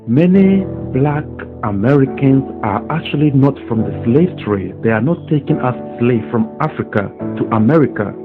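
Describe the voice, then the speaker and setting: A man in a mid range narrates an explanation in a slow, deliberate manner. His voice comes through narrow, phone-like audio. A guitar piece plays clearly underneath. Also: medium pitch. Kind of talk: explanatory commentary. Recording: phone-like audio with music behind.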